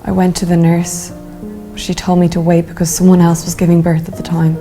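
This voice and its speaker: An actress playing a frightened seventeen-year-old girl in a dramatised testimony, subdued and plain in short halting sentences. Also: fairly low pitch, completely flat delivery, mellow, lightly acted. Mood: subdued, hurt. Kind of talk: dramatised first-person testimony. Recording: music behind the voice.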